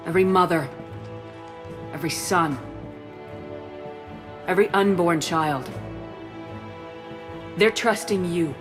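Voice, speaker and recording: Slow, resolute space commander. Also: female voice, lowish voice. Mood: solemn, resolute. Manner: solemn, rallying. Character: resolute heroic sci-fi military commander. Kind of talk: video game character acting. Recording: music behind the voice.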